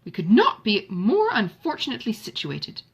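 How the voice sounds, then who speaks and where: Woman acting young storybook heroine, passionate, idealistic, formal, emphatic, animated intonation, slightly husky. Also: medium pitch, normal speed, dull audio, exaggerated voice. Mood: bitter.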